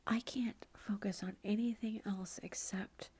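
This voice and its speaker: A woman hosting an ASMR channel, whispering every word close to the microphone. Her whisper is very breathy and mellow, and she takes her time, drifting through thoughts with ums and little laughs. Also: medium pitch, steady tone, measured pace. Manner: whispering throughout, rambling with fillers and soft laughs.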